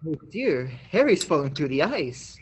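A man's voice: on the high side, very animated, normal speed, breathy edge, heavily acted. A teenage boy playing an eager, fussy, naive old apprentice angel in a staged radio play. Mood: curious, concerned.

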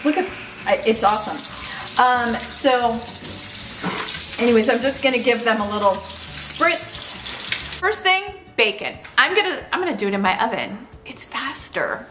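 A woman's voice: a little high, lively intonation, a little slow, background noise. A woman in her fifties talking casually and eagerly in a cooking vlog from her large home kitchen, with plenty of fillers and restarts. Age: 50s. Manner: chatty, enthusiastic, many fillers and restarts. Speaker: lifestyle vlogger. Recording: echoey kitchen room.

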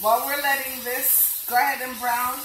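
A middle-aged woman with a fairly high voice talks through a home cooking demo step by step. She is recorded from across a roomy, echoing kitchen by a distant camera. A loud hiss and a sizzling skillet run under her voice. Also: little pitch movement, moderate pace. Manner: chatty, narrates each cooking step. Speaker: home cooking show host.

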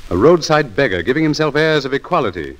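A haughty young gentleman in an old radio drama. He talks quickly in a lively, varied pitch. His manner is supercilious and snobbish. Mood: disdainful. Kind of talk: 1940s radio drama acting.

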